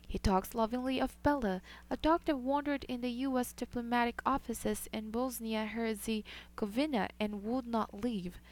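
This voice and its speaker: A woman narrating a scripted news report in a measured, even delivery. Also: on the high side.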